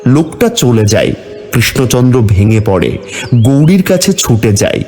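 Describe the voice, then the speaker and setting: A man's voice: mid-range voice, very animated, normal speed, a little mellow, faint music behind. A man narrating a scripted fairy-tale cartoon as the storyteller.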